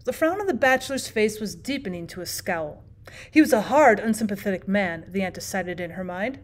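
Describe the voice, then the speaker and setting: A woman's voice: medium pitch, expressive, fairly quick. A woman reading aloud like a teacher, in scripted audiobook narration of a classic short story.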